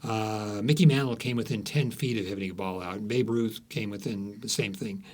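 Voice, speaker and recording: A man speaking as an author guest in an interview, telling stories in a halting, emphatic way that repeats phrases. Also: on the low side, darkish tone. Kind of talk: conversational storytelling interview.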